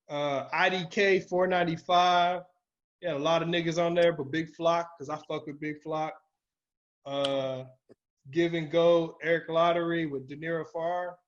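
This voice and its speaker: Podcast co-host: American male, slightly high pitch, informal and profane, dull lo-fi recording. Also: fairly even intonation, measured pace. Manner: slangy, profane. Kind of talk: casual chat.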